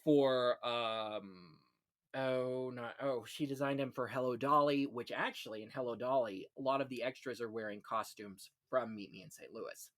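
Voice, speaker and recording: A man's voice: mid-range voice, moderate pace, a bit bright. A man hosting a casual film-history discussion, talking in an effusive, opinionated way full of anecdotes. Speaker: podcast host on classic Hollywood films.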